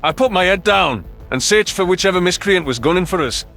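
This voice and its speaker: A man's voice: high-pitched, animated, fairly quick, fairly bright tone, soft background music. A man reading scripted first-person science fiction narration as an audiobook narrator.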